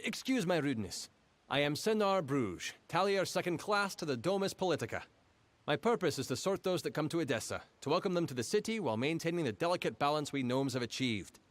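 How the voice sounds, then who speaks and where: A man's voice: a little high, lively intonation, a little fast, natural voice. An actor playing an officious, fussy male gnome clerk in a fantasy game, with a pompous, formal manner. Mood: polite, brisk. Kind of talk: scripted fantasy game dialogue.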